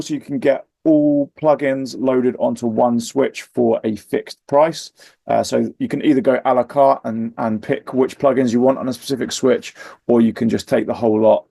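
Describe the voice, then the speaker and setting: A man's voice: mid-range voice, vocal fry, mellow, no echo. A man giving an informal product presentation in a matter-of-fact, explanatory way.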